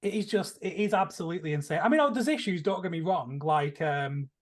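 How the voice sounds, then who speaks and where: A man's voice: sits high, lively intonation, fairly quick. A man chatting casually and enthusiastically about films as a podcast panelist, rambling a little as he goes. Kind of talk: casual film discussion.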